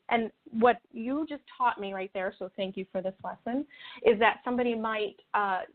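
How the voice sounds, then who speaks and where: A woman speaking as an interview guest in an earnest, conversational way, reflective and halting as she rephrases her thoughts. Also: medium pitch. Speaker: interview guest, spiritual video creator. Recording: tinny phone-like call audio.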